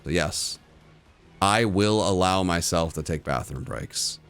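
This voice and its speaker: Rambling young streamer, deep radio voice. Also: male voice, slow pace, fairly bright tone, clean tone, faint music behind. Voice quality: distinct voice, called good for radio. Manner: rambling, lots of fillers and restarts, laughs often. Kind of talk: casual livestream chat with viewers.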